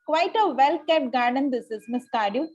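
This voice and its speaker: A woman's voice: high-pitched, normal speed, a little mellow. A woman reading the part of a haughty, coolly polite young upper-class lady in a Victorian stage comedy, formal with small stumbles. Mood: icily polite. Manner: formal, stumbles over lines. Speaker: table-read participant.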